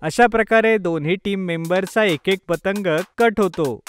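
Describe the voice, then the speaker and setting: A man's voice: high voice, a little slow, faint music behind. A man narrating a scripted children's story.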